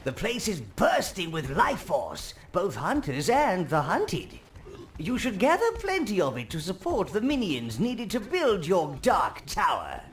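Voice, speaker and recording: An actor playing a scheming old minion advisor in a video game, with a wheezy, gravelly voice and a fawning, wickedly relishing manner. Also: male voice, high voice, huge pitch swings, measured pace, slight background noise, exaggerated voice. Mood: scheming instruction. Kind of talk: video game cutscene acting.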